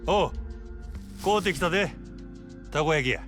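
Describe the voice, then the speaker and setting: An actor playing a gruff, brusque yakuza man with a Kansai accent in a Japanese video game drama. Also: fairly high pitch, expressive, fast, fairly bright tone, soft background music. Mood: casual. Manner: brusque, grumbling.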